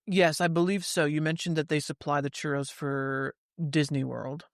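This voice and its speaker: Chatty man with a slightly high voice. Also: flat delivery, normal speed, vocal fry, dry recording, studio quality. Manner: rambling anecdotes with fillers. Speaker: podcast co-host. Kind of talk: casual chat, storytelling.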